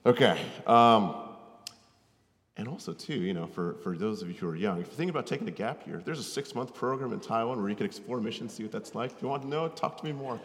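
A man's voice: mid-range voice, lively intonation, fast, a bit bright. A man in his thirties with an American accent gives an informal, conversational sermon as a missionary, often checking in with short tag questions, in a hall with long reverb. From Connecticut, United States. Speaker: missionary preacher, guest speaker. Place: large hall, speaking to an audience.

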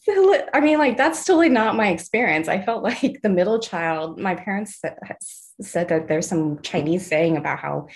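A young woman with a lowish voice talks loosely and warmly about her life as an interview guest. She laughs easily, circles back and restarts her phrases. She grew up in the Los Angeles area and sounds like it. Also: expressive, moderate pace. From Los Angeles area, USA. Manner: laughs often, chatty with restarts. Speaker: artist and guest. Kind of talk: casual interview conversation.

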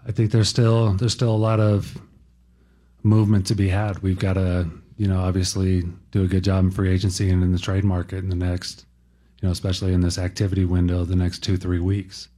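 A sports executive, a man, giving a halting, guarded answer at a press conference. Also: low voice, level tone, warm tone.

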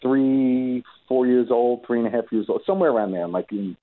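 A man's voice: medium pitch, fairly quick. A man from New York, a guest musician on a remote call, telling his story in casual, rambling interview chat full of restarts. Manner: rambling, many restarts and fillers. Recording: tinny phone-like line.

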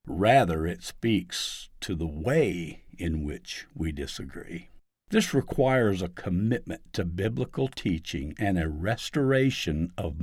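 A man with a fairly low voice narrates a written devotional commentary. His delivery is slow and earnest, with emphatic phrasing and a very lively rise and fall. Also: dry recording, clean studio sound. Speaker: article narrator. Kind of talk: scripted article reading, devotional commentary.

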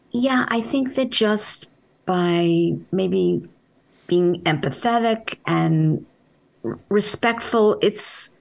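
Soft spoken lady writer, lowish gravelly voice, thoughtful, kinda halting. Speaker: author being interviewed. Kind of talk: reflective interview answers.

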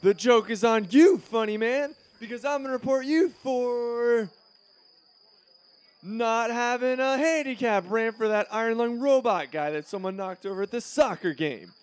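A man's voice: very high voice, normal speed, clean tone, dry recording. A man playing a pampered, bratty male student in a comedy series, petulant and full of bluster. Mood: indignant.

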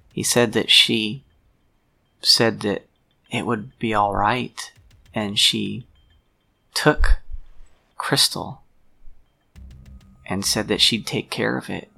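A man playing a troubled, protective friend of a missing woman in a role-play drama, speaking in a halting, deliberate, sincere way with a gravelly voice. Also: lowish voice, sharp tone, full character voice. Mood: uneasy, recounting. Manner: halting, deliberate, pausing mid-sentence. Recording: music under the voice.

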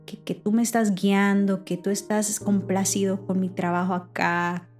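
A woman who preaches, with Spanish as her first language, telling a personal story in a lively, halting way with many restarts. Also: medium pitch, faint music behind. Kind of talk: personal testimony, casual talk.

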